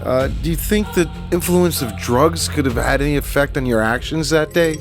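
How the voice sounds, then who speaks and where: A man's voice: a little high, moderate pace, soft background music, natural voice. A man playing a patient police investigator in an audio horror drama, coaxing and questioning with controlled delivery. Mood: patient, probing.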